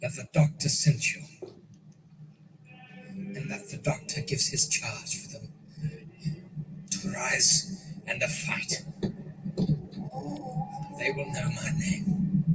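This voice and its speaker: A grave, elderly time traveller delivers slow, commanding orders, played by a man. He sounds set back from the mic, with loud music swelling behind him in this audio drama. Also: medium pitch, animated, recorded from a distance, low-quality recording. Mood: solemn.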